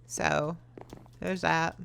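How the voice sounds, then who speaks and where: Give me a loud, fast-talking young woman with a bright voice, chatting casually as a host. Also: low voice, little pitch movement, gravelly, slight background noise. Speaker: YouTuber host. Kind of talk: casual Q&A while playing a video game.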